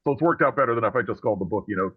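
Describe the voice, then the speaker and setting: A man's voice: mid-range voice, lively intonation, very fast, breathy edge, dry recording. A man being interviewed as a guest author, chatting casually and explaining things in a conversational way. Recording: phone-like thin call audio.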